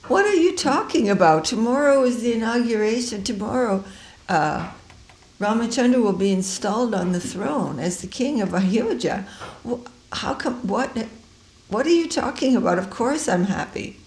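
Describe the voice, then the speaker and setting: A woman voicing an excitable, gullible queen. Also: on the high side, measured pace, pitched above the performer's own voice. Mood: incredulous. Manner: exclaiming, incredulous. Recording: echoey room.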